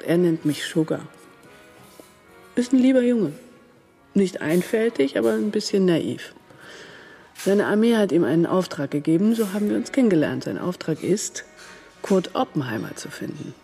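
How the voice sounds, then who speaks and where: A woman's voice: on the low side, very animated, a little slow, long pauses, warm tone, soft background music. An actress playing a shrewd, worldly German woman agent in a radio crime drama, cool and matter-of-fact in manner. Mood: knowing, matter-of-fact.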